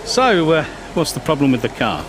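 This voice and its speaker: Jovial team boss. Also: male voice, a little high, huge pitch swings, moderate pace, background noise. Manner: jovial, breezy. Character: jovial middle-aged male team boss.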